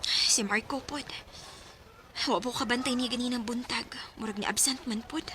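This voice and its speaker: A woman's voice: on the high side, fairly even intonation, moderate pace, background noise, lightly acted. An actress playing a young student, a devoted and needy girlfriend and daughter, in a Bisaya radio drama with a Cebuano Filipino accent. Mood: worried. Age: young adult.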